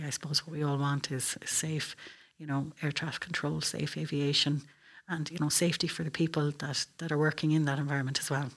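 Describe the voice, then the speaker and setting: A woman's voice: deep voice, fairly bright tone, husky. A middle-aged woman with an Irish accent, explaining things earnestly as a guest in a conversational interview. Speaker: air traffic control officer, interview guest.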